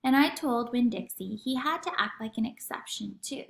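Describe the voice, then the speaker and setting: A woman reads a children's book aloud like a teacher to her class. Her voice is high and swoops expressively through the sentences. The sound is roomy and echoey. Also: moderate pace, gravelly. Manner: animated, acts out dialogue. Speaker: teacher reading aloud for a lesson.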